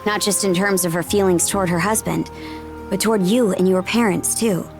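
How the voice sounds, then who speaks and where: A woman's voice: mid-range voice, steady tone, moderate pace, music in the background, lightly acted. Bold, blunt warrior princess, played by a woman. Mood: firm, explaining. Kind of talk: video game cutscene acting.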